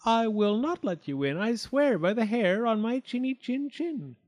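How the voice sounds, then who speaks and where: A small, timid female pig from a storybook, played by a man. The voice pipes and quivers high, pleading politely yet stubbornly, with lively jumps in pitch. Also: moderate pace. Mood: frightened, defiant.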